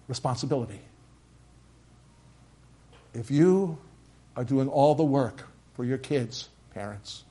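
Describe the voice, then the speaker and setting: Preacher with a slight Norwegian accent. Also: male voice, medium pitch. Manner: builds to loud emphatic peaks, with quiet drops. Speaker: pastor. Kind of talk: sermon.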